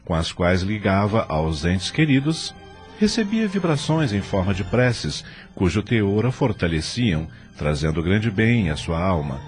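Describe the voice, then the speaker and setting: Male narrator, Brazilian accent, deep voice, animated intonation, solemn scripted storytelling, light music bed. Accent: Brazilian Portuguese. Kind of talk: scripted audio drama narration.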